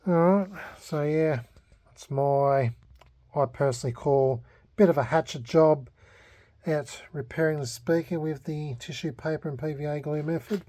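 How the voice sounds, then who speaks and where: Mellow Australian man, casual and laid-back. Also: a little high, measured pace, dry recording. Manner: hesitant, self-deprecating, laid-back. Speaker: vlogger. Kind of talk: casual hobby restoration vlog, unscripted.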